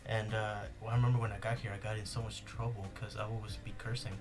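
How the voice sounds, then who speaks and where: A young man with a Spanish accent hosts a casual podcast, rambling through stories with plenty of "like" and "uh". His voice sits a little low. Music plays clearly behind him. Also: little pitch movement, fast. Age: young adult. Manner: rambling, many fillers and restarts. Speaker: podcast host. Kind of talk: casual chat.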